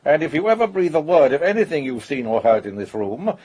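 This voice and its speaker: An actor playing a brisk, commanding police major in a radio drama, somewhat blustering. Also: male voice, fairly high pitch, animated, a little mellow, breathy edge, poor audio. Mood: authoritative.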